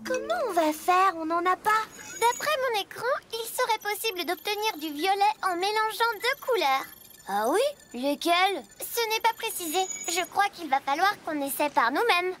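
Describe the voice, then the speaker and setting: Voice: very high voice, lively intonation, moderate pace. A voice actor playing an eager, playful little truck kid in a children's cartoon, exclaiming with enthusiasm. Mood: curious. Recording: loud music behind the voice.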